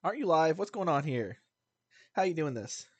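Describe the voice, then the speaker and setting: A man's voice: a little high, lively intonation, moderate pace, a little mellow. A man livestreaming a video game, rambling casually as he chats to his viewers. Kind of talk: casual gameplay stream chat.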